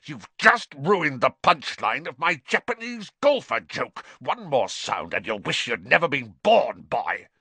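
A man's voice: medium pitch, big pitch swings, a little slow, few pauses, sharp tone, husky, dry recording, pitched above the performer's own voice, exaggerated voice. A man voicing a pompous, bad-tempered middle-aged uncle who threatens through gritted teeth. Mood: menacing.

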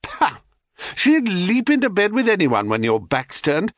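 A man's voice: high voice, huge pitch swings, unhurried, no echo, studio quality, pitched above the performer's own voice, full character voice. A man voicing a mischievous, cynical moon goddess in an audiobook myth, taunting and breezy as she goads. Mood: teasing, scheming.

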